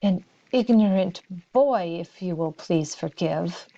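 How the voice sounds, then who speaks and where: Woman reading a humble, slow-spoken shopkeeper father. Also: on the low side, mellow, lo-fi sound. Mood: humble, apologetic. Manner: humble, formal. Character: humble shopkeeper father, deferential yet firm.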